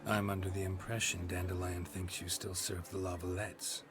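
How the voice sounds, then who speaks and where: An actor playing a stoic, world-weary monster hunter in a video game, with a terse, deadpan delivery. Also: male voice, low-pitched, moderate pace, background noise, lightly acted. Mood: dry, inquiring. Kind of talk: video game dialogue acting. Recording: music loud behind the voice.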